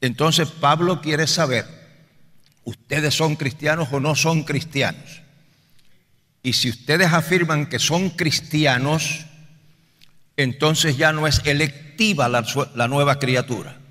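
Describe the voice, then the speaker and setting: A man's voice: on the high side, slow, pushed and bright. A man preaching an expository Bible lesson in a didactic, emphatic way, repeating key phrases for stress. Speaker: preacher teaching a Bible study. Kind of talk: expository sermon with scripture reading. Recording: reverberant hall with long echo.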